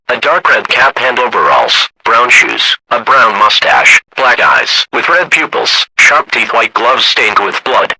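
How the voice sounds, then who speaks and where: A male voice playing a formal police announcer, reading a scripted emergency alert in a measured, impersonal way. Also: mid-range voice, pushed and bright, slightly rough, no echo, heavily acted, low-quality recording.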